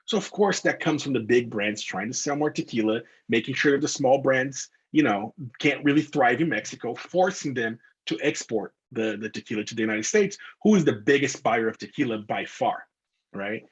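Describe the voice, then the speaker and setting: A man's voice: medium pitch, breathy edge. A young man, a bartender and tequila expert talking casually and eagerly in an interview, full of "like" and "you know.". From Brazil. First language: Portuguese. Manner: enthusiastic, filler-heavy with "like" and "you know".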